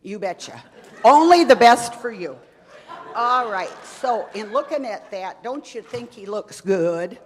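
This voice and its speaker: A woman's voice: medium pitch, animated, unhurried, close to an everyday voice. A woman playing a chatty, teasing church lady in a comedy skit, speaking with a put-on drawl in a church. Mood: playful teasing. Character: folksy Texan church lady, gift-giving and teasing. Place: church sanctuary. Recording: reverberant church hall.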